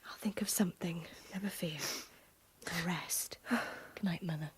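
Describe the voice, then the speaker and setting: An actress playing a protective, weary daughter in a radio drama, soothing in a breathy whisper. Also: female voice, lowish voice, fairly even intonation, unhurried. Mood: hushed, soothing.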